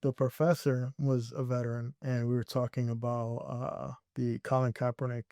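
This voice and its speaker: A young man from Puerto Rico talks earnestly and reflectively as a guest, often restarting his sentences. His voice is smooth and resonant but stays level in pitch. The recording is dry and close. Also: little pitch movement, normal speed, mellow, no echo. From Puerto Rico, raised in Connecticut. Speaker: guest filmmaker. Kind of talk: casual interview storytelling.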